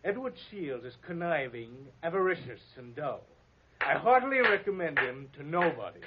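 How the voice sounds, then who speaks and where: Blunt hearty bearded sculptor, lively pitch, a bit high, lo-fi vintage radio sound. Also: male voice, moderate pace, husky. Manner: blunt, booming laughter. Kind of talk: 1940s radio acting. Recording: dull lo-fi old radio recording with faint noise.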